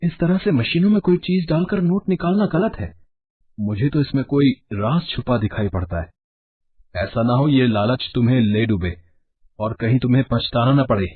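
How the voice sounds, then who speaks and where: A man playing a cautious, worried husband and father in a cartoon story, with a husky voice. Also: mid-range voice, moderate pace, narrow, tinny audio, lightly acted.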